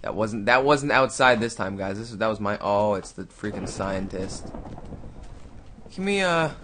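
A man's voice: lowish voice, expressive, measured pace, a bit bright, slight background noise. Chatty young man, gaming commentary. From Canada. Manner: chatty, rambling, talks to himself and viewers. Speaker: gaming YouTuber. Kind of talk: casual let's-play commentary.

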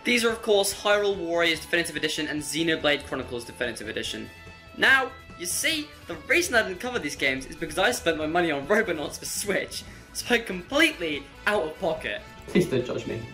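A man's voice: on the high side, very animated, a little fast, sharp tone, faint music behind. A teenage male video host reading a scripted game review in a wry, tongue-in-cheek manner.

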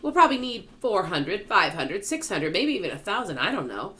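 A woman voicing an earnest, thoughtful young boy in a storybook, in a measured manner. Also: medium pitch, sing-song, a bit bright, pitched above the performer's own voice.

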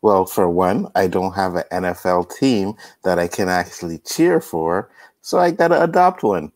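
A man's voice: deep voice, expressive, normal speed, a little mellow, no room sound. A man talking easygoing in a casual video-call panel chat, on a headset mic. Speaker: guest.

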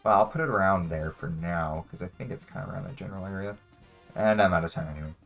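A man's voice: low voice, fast talker, creaky, a bit bright, highs cut off. A young adult man thinking aloud in casual chat as he plays a guessing game. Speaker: player in a geography guessing game. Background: music in the background.

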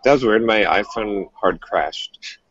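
A man in his thirties co-hosting a podcast, talking casually in a halting way that repeats itself. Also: fairly low pitch, fairly bright tone. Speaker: podcast co-host. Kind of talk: casual panel chat. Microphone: earphones on a phone video call. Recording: dull, dry phone video call.

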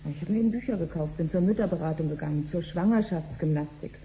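A woman's voice: on the low side, fast, mellow, a little hiss or hum, close to an everyday voice, low-quality recording. An actress playing a plain-spoken woman under questioning in a 1970s radio play. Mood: matter-of-fact.

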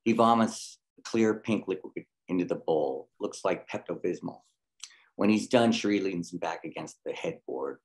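A man with a deep voice narrates a screenplay. He reads out scene headings and stage directions as scripted narration. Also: moderate pace, low-quality recording.

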